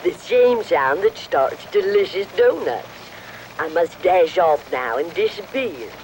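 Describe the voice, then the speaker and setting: A man's voice: high-pitched, expressive, a little slow, background noise. An actor playing a depressed, dramatic female dancing dragon in a children's puppet show, mournful and declaiming. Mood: haughty.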